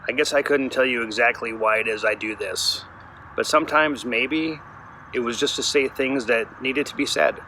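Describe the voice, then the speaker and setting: A man delivers absurd comic bits in a deadpan, self-mocking way at a medium pitch. Traffic and street noise run behind him. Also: normal speed, low-quality recording. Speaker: comedy writer and performer.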